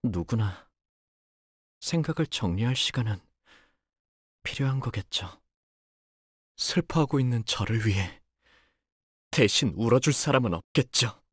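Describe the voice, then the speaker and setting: An actor playing a timid, polite young male fighter in a video game, hesitant and soft-spoken but capable of cold focus. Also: mid-range voice, lively intonation, unhurried, long pauses, breathy edge, no echo, studio quality. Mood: earnest, subdued. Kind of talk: Korean video game character voice lines.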